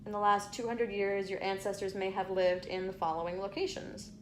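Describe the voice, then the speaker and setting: A young woman talks casually to her viewers, reading out lines with chatty asides. Her voice sits at a middle pitch. A faint hiss lies under the home recording. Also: level tone, a little fast, rough recording. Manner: chatty, reads aloud with asides, stumbles over place names. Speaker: vlogger. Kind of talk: casual vlog reading out results.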